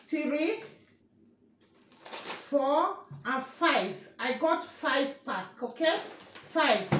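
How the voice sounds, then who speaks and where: A woman in her thirties vlogging a grocery haul in her kitchen, slowly repeating each price and asking viewers whether they can see the items. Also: sits high. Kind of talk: grocery haul show-and-tell. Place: kitchen, indoors. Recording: echoey room with faint noise.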